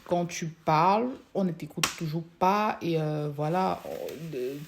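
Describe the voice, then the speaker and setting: A young woman from Africa, a social work student, giving a hesitant personal testimony with many pauses and restarts. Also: low voice, background noise.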